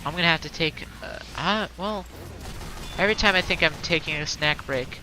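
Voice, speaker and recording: A woman's voice: sits low, moderate pace, bright, forceful tone, slight background noise, poor audio. A woman streaming a game in casual, rambling chatter, slightly stuttering and congested from allergies. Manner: rambling, heavy on fillers and restarts.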